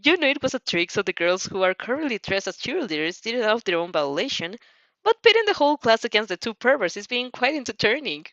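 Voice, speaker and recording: A woman's voice: on the high side, very animated, quick pace, a bit bright, no room sound, dull audio. A woman narrating a fan fiction story expressively, giggly and often stumbling over her words.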